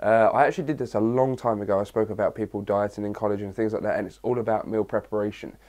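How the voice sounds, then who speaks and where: A young man from England with a cold and a hoarse voice, chatting his way through a casual Q&A vlog. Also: lowish voice, fairly even intonation, fast talker, darkish tone. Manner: chatty, rambling, reads questions aloud. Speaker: fitness vlogger.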